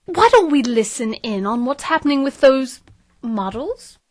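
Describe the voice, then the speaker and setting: Woman playing a perky, high-voiced radio co-host. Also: big pitch swings, a little slow, noisy background. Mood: wry. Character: perky, smooth-selling female book radio co-host. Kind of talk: satirical radio host patter.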